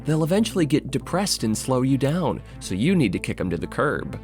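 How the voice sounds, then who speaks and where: A man's voice: mid-range voice, sing-song, fairly quick, a little mellow. A man narrating a scripted video essay in an emphatic, dramatic way. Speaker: video essayist and channel host. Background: music.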